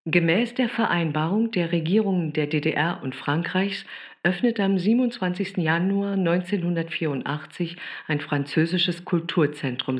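An actress playing an East German state radio newsreader in a radio drama, reading formally in an official, measured way. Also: female voice, on the low side, close to an everyday voice. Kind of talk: formal scripted news bulletin.

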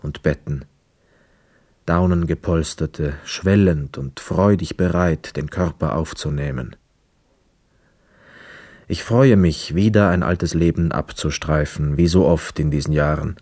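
An actor playing a reflective, weary homecoming soldier in a radio play, with measured, lyrical phrasing. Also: male voice, extremely low pitch, a little mellow, no room sound. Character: weary young war returnee, reflective, dreamy wanderer. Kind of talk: literary radio play monologue and dialogue.

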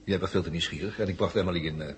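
A man's voice: deep voice, rapid-fire, in a large hall, slight background noise, lightly acted. An actor playing a sneering, sardonic henchman who taunts his captives in a vintage Dutch radio play.

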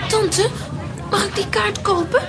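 A woman's voice: extremely high pitch, steady tone, a little slow, a crowd in the background. A woman playing a stubborn, wide-eyed little girl who believes in fairy tales, in a children's audio play. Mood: excited.

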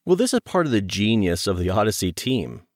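Low guy being interviewed, thinks out loud, keeps rewording stuff, really dry close sound. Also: very animated, dry recording. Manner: thoughtful, halting, circling back to rephrase. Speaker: guest, audio drama producer. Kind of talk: conversational interview commentary.

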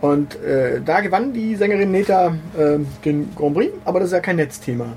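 A man co-hosting a casual comedy podcast, rambling through deadpan jokes with many fillers and restarts. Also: sits high, normal speed, a little hiss or hum. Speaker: podcast co-host.

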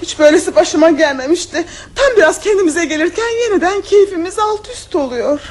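A woman's voice: very high voice, animated, fairly quick, background noise. A coy, scheming young woman. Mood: coaxing. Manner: coy, teasing and mocking, with lilting laughs. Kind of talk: 1960s radio drama acting.

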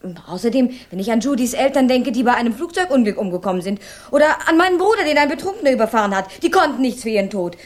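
An actress playing an earnest, idealistic young woman in a 1960s radio crime drama, speaking in a halting, emotional way. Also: a little high, expressive, natural voice. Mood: upset, insistent.

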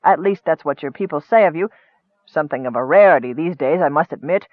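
A man's voice: on the high side, fairly quick, no room sound, close to an everyday voice. Man voicing a cold commanding wizard, scheming, impatient, storybook villain, tinny phone-like sound, dry. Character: scheming cold male goblin-hiring wizard.

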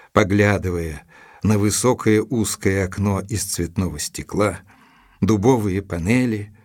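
An actor playing a gentleman narrator of a mystery tale, measured and expressive, in a literary audio drama. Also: on the low side, no room sound. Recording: dry studio with music underneath.

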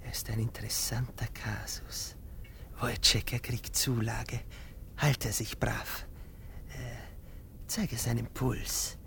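Pompous, slow-speaking doctor. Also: male voice, mid-range voice, level tone, gravelly, a bit bright. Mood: fascinated, gloating. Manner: pompous, theatrical, lurching between whispers and outbursts. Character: pompous, excitable male doctor obsessed with experiments. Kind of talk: German radio play acting.

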